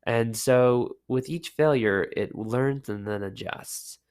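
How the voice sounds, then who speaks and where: A man hosting a casual solo podcast, sick with a lowered, deep voice, talking in a relaxed, rambling way with many fillers. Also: takes it slowly, clear, resonant tone. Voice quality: deep, low voice. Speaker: podcast host.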